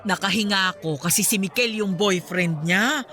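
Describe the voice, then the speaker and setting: A woman playing a warm, easily astonished middle-aged mother chatting with her best friend in a radio drama. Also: mid-range voice, moderate pace, soft background music, natural voice. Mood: relieved, sincere. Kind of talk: conversational radio drama acting.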